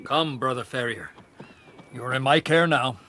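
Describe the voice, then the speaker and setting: An actor playing a pious, possessive zealot in a radio drama, fervent and declamatory. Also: male voice, mid-range voice, measured pace, bright, forceful tone, slight background noise. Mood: pious, insistent.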